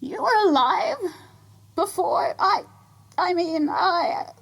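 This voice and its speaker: A woman voicing a timid undead ghoul pony in an audio drama, with a harsh, raspy, hesitant delivery. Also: very high-pitched, animated, slow pace, a bit bright, heavily acted. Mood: astonished. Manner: stammering, hesitant. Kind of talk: audio drama character acting.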